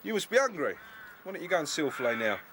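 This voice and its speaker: Radio drama officer rabbit: fast-talking, slightly high-voiced captain, orderly and in command. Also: male voice, sing-song, sharp tone, a little hiss or hum, heavily acted. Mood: brisk, assured. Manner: authoritative, matter-of-fact. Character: brisk male rabbit captain of regimented warren.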